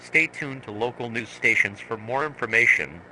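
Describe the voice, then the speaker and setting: Very sharp, bright synthetic male alert voice. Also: mid-range voice, moderate pace, a little hiss or hum, poor audio. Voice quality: synthetic text-to-speech voice. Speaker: emergency alert announcer. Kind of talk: scripted emergency broadcast announcement.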